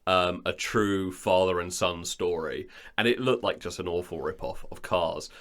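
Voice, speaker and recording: A man with a slightly low voice co-hosts an informal chat in a studio. He talks casually and a little haltingly, often doubling back on words. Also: a bit bright. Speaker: podcast co-host. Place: recording studio.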